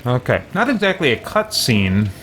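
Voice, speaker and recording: A man gives casual commentary as he plays a video game at home. His pitch is lively and mid-range, and there is faint noise in the background. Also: normal speed. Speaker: let's play host. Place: small room at home, indoors.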